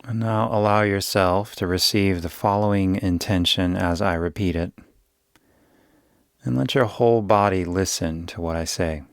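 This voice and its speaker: A man guiding a yoga nidra meditation in a soothing, unhurried way, giving gentle instructions with long pauses. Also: deep voice, smooth, no echo, clean studio sound. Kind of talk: guided meditation.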